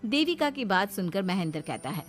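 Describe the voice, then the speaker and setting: A woman's voice: medium pitch, big pitch swings, fairly quick, soft background music. A woman giving scripted narration for a Hindi cartoon story.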